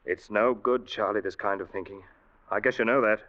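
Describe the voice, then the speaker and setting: A man's voice: fairly low pitch, fairly even intonation, fairly quick, fairly bright tone, lightly acted. An actor playing a grave, loyal ship's officer telling his story dramatically in an old-time radio drama. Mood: gently consoling. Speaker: radio actor, first-person narrator. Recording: muffled vintage radio recording.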